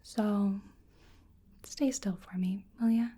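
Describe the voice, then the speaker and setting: Slow, breathy whispering ASMR woman. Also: medium pitch, fairly even intonation. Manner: gentle, hesitant, musing delivery. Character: curious, polite female researcher examining a creature. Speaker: ASMR roleplay performer. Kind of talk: soft-spoken ASMR roleplay.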